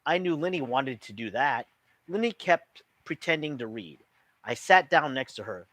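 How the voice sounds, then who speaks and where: A man reading a children's book aloud as an expressive storyteller. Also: mid-range voice, moderate pace, dry recording.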